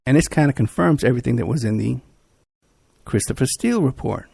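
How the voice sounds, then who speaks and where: A man hosting a podcast, ranting in an animated, repetitive talk-radio monologue, hoarse and losing his voice. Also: mid-range voice, a little fast, no room sound, studio quality. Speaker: podcast host.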